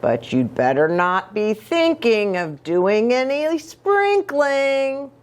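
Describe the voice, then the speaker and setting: A woman voicing a patient but firm mother cat in a storybook, measured and gently scolding. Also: on the high side, big pitch swings. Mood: firm, kindly.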